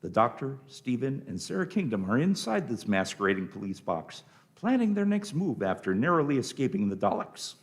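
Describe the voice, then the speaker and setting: Male narrator, middle pitch, lively intonation, creaky edge, theatrical radio drama delivery. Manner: theatrical, deliberate.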